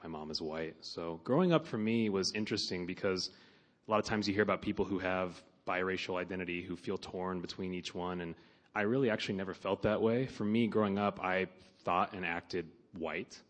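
A man in his thirties from Nebraska, introducing himself on a church panel in a relaxed, reflective way. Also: low-pitched, normal speed. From Omaha, Nebraska. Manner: relaxed, reflective, many ums.

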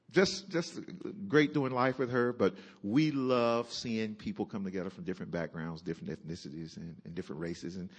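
A man from North Carolina introducing himself on a church panel, telling a joking story in an animated way. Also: sits low, husky. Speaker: panelist, pastor.

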